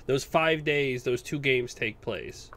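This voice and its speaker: A man's voice: medium pitch, slow pace, a bit bright. A man co-hosting a casual gaming chat, explaining details in an insistent, exacting way. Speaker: podcast co-host. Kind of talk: casual video game chat.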